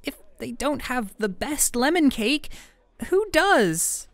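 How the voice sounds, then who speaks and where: A woman's voice: high voice, big pitch swings, takes it slowly, dry recording, exaggerated voice. A woman playing a playful, affectionate young best friend in an ASMR roleplay, chatting casually and teasing her listener. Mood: playful indignation. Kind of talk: ASMR roleplay audio, conversational acting. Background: soft background music.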